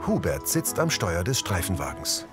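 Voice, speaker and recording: A man reading audio description for a TV series in a neutral, measured way. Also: low voice, big pitch swings, music in the background.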